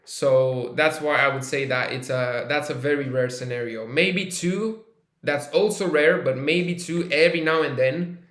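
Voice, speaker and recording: A man's voice: a little high, a little slow, a bit bright, roomy sound. A man from Romania hosting a live trading stream, chatting casually with viewers and thinking aloud with fillers and restarts. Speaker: live-stream trader host.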